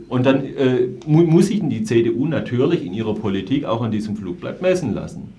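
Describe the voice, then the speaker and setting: A man's voice: lowish voice, darkish tone. Earnest man in a political discussion. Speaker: political activist interview guest.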